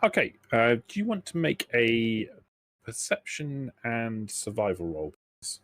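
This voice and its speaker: A man's voice: mid-range voice, sing-song, measured pace, a bit bright. A man acting as game master, explaining rules and narrating a tabletop roleplaying game in a hesitant, explanatory way.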